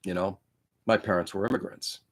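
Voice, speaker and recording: A man's voice: low-pitched, fairly even intonation, fairly quick, fairly bright tone. A man whose first language is Greek, hosting a casual livestream from a room on his laptop, rambling and blunt with frequent swearing. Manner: rambling, profane, opinionated. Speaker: livestream host building model kits. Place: indoor room.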